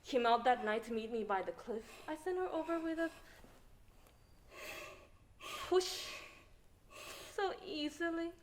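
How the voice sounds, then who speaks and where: A young woman acting a deranged, gloating judge turned murderer in a theatrical stage monologue, swinging between hushed menace and outbursts. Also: high voice, fairly even intonation, normal speed, close to an everyday voice. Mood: gleeful, confessing. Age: young adult. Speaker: student stage actor. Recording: faint noise, live stage play.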